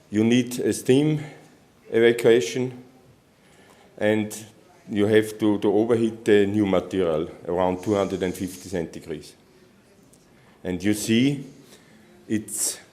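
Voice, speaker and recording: A man from Austria, a native German speaker, giving a technical conference presentation in halting English with many restarts. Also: lowish voice, a little mellow. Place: conference hall.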